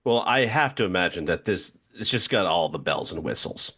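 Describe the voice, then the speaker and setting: A low-voiced man takes part in a tabletop game as a player. He talks casually and playfully, improvising as he goes, with halting stretches as he thinks aloud. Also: lively intonation, fairly bright tone, breathy edge. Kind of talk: casual improvised role-play chat.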